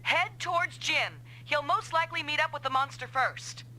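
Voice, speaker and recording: An actress playing a brisk, commanding female mech pilot and team leader in an anime-style game dub. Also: high-pitched, bright, forceful tone, slight background noise.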